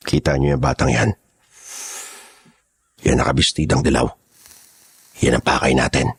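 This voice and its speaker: A man playing a menacing older kidnapping gang boss in an audio horror drama, raspy, commanding and threatening. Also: rumbling low, sing-song, normal speed, exaggerated voice. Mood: scheming, curt. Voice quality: raspy, gravelly.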